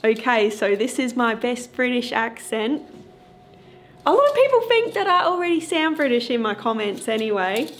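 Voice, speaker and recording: A woman's voice: on the high side, sing-song, moderate pace. A young woman in her twenties hosting a paranormal vlog, talking in a chatty, giggly, upbeat way inside a dim old stone prison. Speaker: paranormal vlogger host. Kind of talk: casual vlog presenting.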